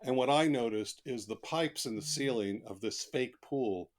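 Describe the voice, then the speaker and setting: A man's voice: medium pitch, moderate pace. A man speaking as an author guest on a podcast, telling stories in a casual, colloquial and emphatic way. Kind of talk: casual podcast interview, anecdotal storytelling.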